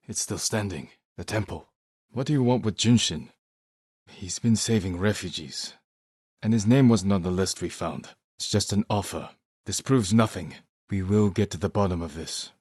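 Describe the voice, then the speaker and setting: An actor voicing a grave, determined samurai warrior in a video game, speaking in measured, solemn, terse lines. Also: male voice, low-pitched, darkish tone, husky, no room sound, clean studio sound. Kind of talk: dramatic game character dialogue.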